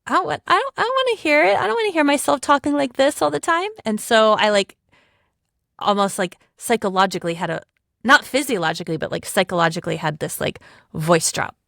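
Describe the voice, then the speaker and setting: A woman's voice: fairly high pitch, lively intonation, fast, vocal fry, a bit bright, no room sound. Woman, 40s, voiceover artist, chatty and playful, dry close mic. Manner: chatty, playful, often breaks off and restarts. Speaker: podcast co-host, voiceover artist. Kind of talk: casual podcast chat.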